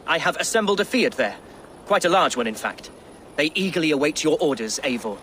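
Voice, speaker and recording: A man's voice: fairly high pitch, animated, fairly quick, fairly bright tone, a little hiss or hum. An actor playing an earnest, unsure young nobleman in a video game, formal and sincere. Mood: hesitant. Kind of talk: video game character acting.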